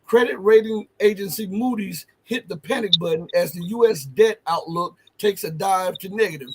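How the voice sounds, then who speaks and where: A high-pitched man hosts a live stream interview, speaking emphatically and leaning on fillers. A faint hum of noise sits under his voice. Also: fairly bright tone, rough recording. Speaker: host.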